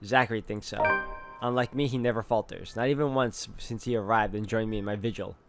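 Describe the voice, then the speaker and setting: A man reading introspective first-person visual novel narration in a measured way. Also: lowish voice, fairly even intonation. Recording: faint music under the voice. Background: music.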